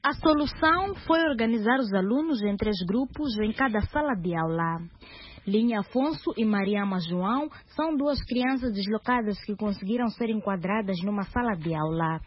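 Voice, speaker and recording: A woman reporting news for radio in a measured delivery, with short interview questions. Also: mid-range voice, expressive, breathy edge. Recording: dull radio broadcast with faint hiss.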